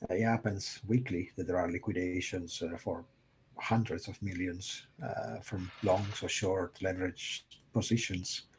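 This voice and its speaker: Low-voiced man explaining liquidity maps. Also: darkish tone, a little hiss or hum. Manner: hesitant, many fillers. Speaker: crypto market analyst. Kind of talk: casual livestream chat.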